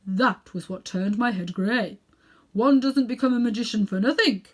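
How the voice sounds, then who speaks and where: A woman's voice: fairly high pitch, normal speed, pitched above the performer's own voice, close to an everyday voice. A woman voicing a sinister, self-important elderly male magician in a storybook reading, pompous, condescending and emphatic in manner. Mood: boastful, secretive.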